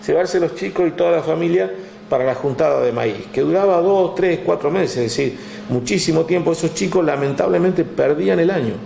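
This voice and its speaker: A man with an Argentine accent, telling history in a relaxed chat, with many "este" fillers, reading out figures from a text. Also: on the high side, a little fast, gravelly, echoey room, a little hiss or hum. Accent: Argentine Spanish. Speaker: guest storyteller. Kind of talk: conversational history talk.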